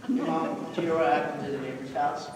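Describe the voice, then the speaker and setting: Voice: mid-range voice, steady tone, a little fast, natural voice. A performer playing an earnest child talking to a parent in a stage play. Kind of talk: stage acting. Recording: echoey hall from far off, noisy.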